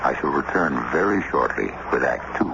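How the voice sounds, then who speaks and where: A man narrating as host of a radio mystery series, in a measured, deliberate, theatrical scripted style. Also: extremely low pitch, sing-song, vocal fry. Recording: very noisy, muffled old radio recording.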